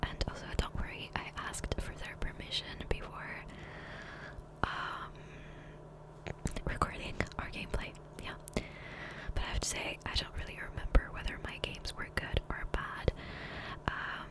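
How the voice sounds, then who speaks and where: A woman whispers softly and breathily, close to the microphone. She rambles in a casual, unhurried way, as an ASMR creator narrating gameplay. The voice stays fully whispered. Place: voiceover recorded separately from gameplay. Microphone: Blue Yeti USB microphone, close.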